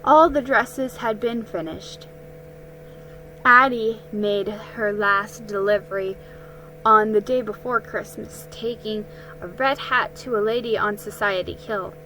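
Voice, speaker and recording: A woman giving a dramatic reading of a children's story, careful and a little halting. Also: medium pitch, bright, forceful tone, slight background noise, low-quality recording. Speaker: storybook reader.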